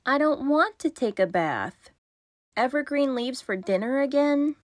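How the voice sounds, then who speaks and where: A woman's voice: sits high, lively intonation, measured pace, dry recording, clean studio sound, voice pushed up. A woman voicing a young male porcupine who is grumbly and eager, with a whining, pleading manner. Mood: whiny.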